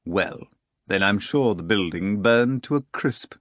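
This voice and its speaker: A man's voice: on the low side, lively intonation, a bit bright, dry recording, studio quality. Icy male lord of darkness, clipped and commanding, as an audiobook narrator puts him on. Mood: dry. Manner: slow, curt and imperious.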